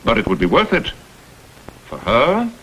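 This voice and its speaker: An actor playing a smooth, insinuating blackmailer who poses as a humble go-between, with florid, theatrical phrasing, in a vintage crime drama. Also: male voice, medium pitch, wildly swooping pitch, a little slow, fairly bright tone, low-quality recording. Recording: vintage TV soundtrack, faint noise.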